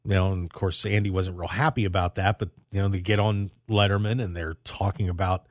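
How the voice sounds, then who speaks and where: An American man hosting a sports talk radio show, telling stories in an animated way with emphatic repetition. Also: deep voice, fairly quick, no echo, studio quality.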